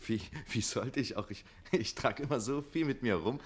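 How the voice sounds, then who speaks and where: A man's voice: mid-range voice, normal speed, natural voice. A man playing a witty, irreverent manservant in a theatrical radio play, with a glib and ironic delivery. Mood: evasive, fumbling. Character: witty, cheeky manservant, quick-thinking and irreverent.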